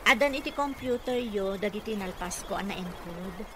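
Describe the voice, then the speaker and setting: A woman's voice: mid-range voice, animated, normal speed, lightly acted. A woman acting a chatty, matter-of-fact office clerk in a radio comedy drama, with an Ilocano accent. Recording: clear background noise.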